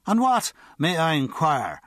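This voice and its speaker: A man's voice: on the high side, huge pitch swings, a little slow, a bit bright, no room sound, studio quality, voice pushed up, full character voice. A man putting on a pompous drunken Dubliner. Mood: blustering. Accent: Irish, Dublin. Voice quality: slurred, pompous, hiccuping. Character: blustering drunken Irish man, red-bearded.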